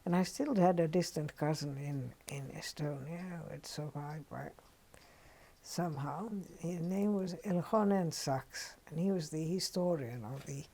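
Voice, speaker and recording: Older woman, Finnish accent, low gravelly voice, slow reflective oral history telling. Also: warm tone. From Finland. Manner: unhurried and reflective, with frequent ums and restarts. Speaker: interviewee.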